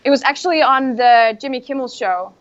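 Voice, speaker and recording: Soft-spoken Icelandic woman, lo-fi. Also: high voice, a little fast, a crowd in the background. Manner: soft-spoken, modest, giggles between answers. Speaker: bikini bodybuilding competitor. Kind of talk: casual interview answers. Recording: dull lo-fi sound.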